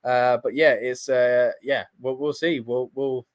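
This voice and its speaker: A man co-hosting a casual livestream, talking fast in excited bursts and restarting his sentences. His voice is mid-pitched. The sound is dry, with no room echo. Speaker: co-host of a wrestling livestream.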